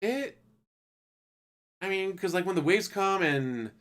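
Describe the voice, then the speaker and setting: A man giving a loose, profane spoken review of an anime, ranting casually and acting out characters in quick asides. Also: high voice, lively intonation, fairly bright tone.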